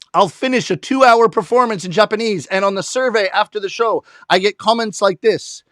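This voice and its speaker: An animated male comedic storyteller talks straight to the camera and chuckles at his own punchlines. His voice sits high, and the sound is close and dry. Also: dry recording. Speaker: rakugo storyteller.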